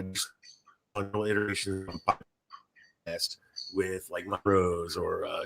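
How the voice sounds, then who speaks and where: A man's voice: low voice, fairly bright tone, rough recording. A man hosting a casual podcast, talking in a rambling way that trails off mid-thought. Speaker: podcast host.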